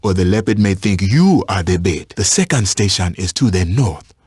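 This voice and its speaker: An actor playing a patient, watchful African safari tracker in a video game, guiding a hunter in measured, instructive phrases. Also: male voice, sits low, lively intonation, a little mellow, husky, no echo, clean studio sound, heavily acted. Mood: calm, instructive. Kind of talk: scripted video game voice lines.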